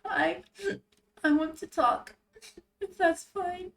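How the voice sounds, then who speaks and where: A woman voicing a fragile, guilt-ridden young character in a story, crying and halting as they speak. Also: very high voice, fairly even intonation, a bit bright, recorded from a distance, faint music behind, pitched above the performer's own voice, full character voice. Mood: grieving, guilty. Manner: halting, trembling, apologetic.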